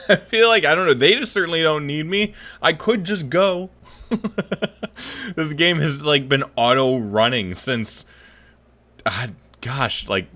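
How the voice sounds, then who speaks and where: Bright, lively male gamer commentating. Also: fairly high pitch. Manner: wry, mock-aggrieved asides. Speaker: game streamer, let's play commentator. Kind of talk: casual reactive game commentary.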